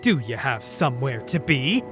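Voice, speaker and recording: A man's voice: mid-range voice, very animated, normal speed, a bit bright, faint music behind. A man voicing a hearty, boastful Viking dwarf smith, cheerful and battle-hardened, in a fantasy fan dub. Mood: hearty banter.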